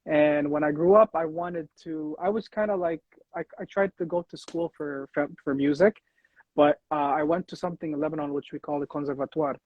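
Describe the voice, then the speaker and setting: A man's voice: fairly high pitch, steady tone, brisk, dry recording. A young American man hosting a casual interview, talking in a rambling way with frequent restarts. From United States. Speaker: host. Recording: dull lo-fi sound.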